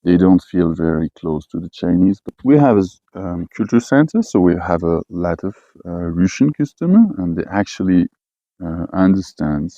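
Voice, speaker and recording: Gravelly low-voiced Swiss French man. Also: expressive, warm tone, no room sound, dull audio. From French-speaking Switzerland. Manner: halting, many fillers and restarts. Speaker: expatriate herder. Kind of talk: casual personal talk.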